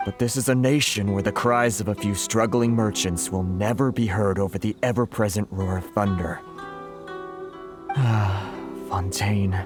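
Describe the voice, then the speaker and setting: Game NPC merchant, male actor: deep-ish, tired, aggrieved and homesick, articulate, music underneath. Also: lightly acted. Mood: despairing. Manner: world-weary, sighing, articulate. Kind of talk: scripted video game dialogue. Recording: music behind the voice.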